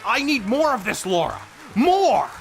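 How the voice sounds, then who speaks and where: A man playing an excitable, pushy radio station boss in an old-time adventure serial, eager and emphatic. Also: extremely high pitch, measured pace, creaky, full character voice, low-quality recording. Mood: excited, demanding.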